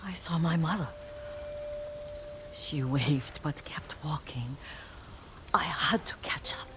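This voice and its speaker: Frail, slow-speaking, whispery old woman. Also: deep voice. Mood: confused. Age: older. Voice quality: breathy, whispery. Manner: halting, wistful, softly trailing off. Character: frail, confused, tender old woman servant, lost in memories. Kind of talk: dramatic video game cutscene acting. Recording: music behind the voice.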